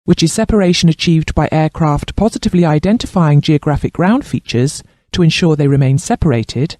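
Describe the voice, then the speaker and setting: A man reading scripted instructional narration as a training narrator, in a formal, measured and precise manner. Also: fairly high pitch, a little mellow, no room sound, studio quality.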